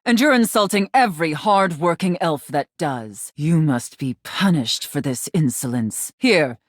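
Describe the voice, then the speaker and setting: A stern, imperious workshop boss playing Santa in a theatrical video game style. The voice sits on the low side and swings widely in pitch. Each order is measured and haughty, scolding and clearly in charge. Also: female voice, animated, no echo, clean studio sound. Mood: disgusted, commanding. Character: stern, domineering woman playing a demanding Santa Claus boss.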